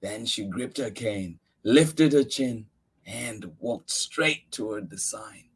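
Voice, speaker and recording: A man reading a children's picture book aloud as a story-time host, with expressive, deliberate emphasis on key words. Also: on the low side, breathy edge.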